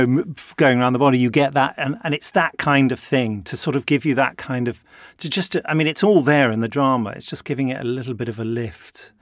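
A male composer talks through his ideas on a podcast, circling and restarting. His voice is mid-pitched and recorded dry. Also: no room sound. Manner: thoughtful, rambling, many restarts. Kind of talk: casual podcast chat.

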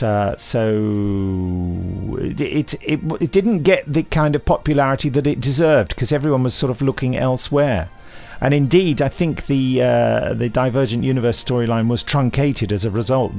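A man's voice: mid-range voice, normal speed, gravelly, darkish tone, soft background music. A man hosting a podcast, chatting casually in a rambling, wry way with many digressions. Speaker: podcast host. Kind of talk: casual podcast chat with readings.

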